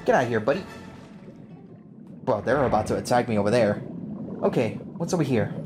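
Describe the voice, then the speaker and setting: A woman's voice: very deep voice, lively intonation, a little fast. A woman giving casual, slangy, reactive commentary as she plays a video game. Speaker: let's play streamer. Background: game music.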